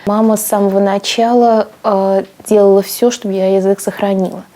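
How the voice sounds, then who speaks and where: Young woman, reflective, mellow voice. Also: mid-range voice, little pitch movement, normal speed. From Canada. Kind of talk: documentary interview.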